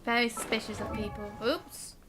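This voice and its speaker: A woman's voice: on the high side, moderate pace, over music. A woman live streamer chatting casually and giggly while she plays a game.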